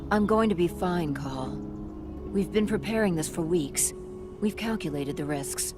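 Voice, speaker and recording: Lowish-voiced, confident caring mother. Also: lively intonation, unhurried, over music. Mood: reassuring. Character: confident, caring middle-aged wife and mother.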